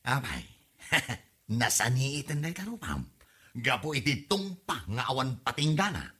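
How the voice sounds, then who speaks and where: A woman acting a gossipy, teasing friend in a comedy drama, animated and exclamatory. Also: a bass voice, a little slow, slightly rough, heavily acted.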